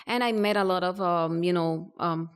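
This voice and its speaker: A woman doctor from Rico, answering interview questions thoughtfully with many pauses and fillers. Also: on the low side, clean tone. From Puerto Rico. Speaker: primary care doctor.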